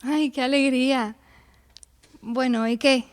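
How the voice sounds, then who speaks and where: A woman's voice: high-pitched, fairly even intonation, takes it slowly. An actress playing an earnest, determined young woman in a theatrical radio drama. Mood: guarded.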